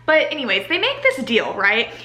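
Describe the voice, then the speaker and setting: A young American woman giving a casual, chatty book review in an animated, exasperated ranting manner, saying "like" a lot. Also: on the high side, moderate pace. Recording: roomy home echo.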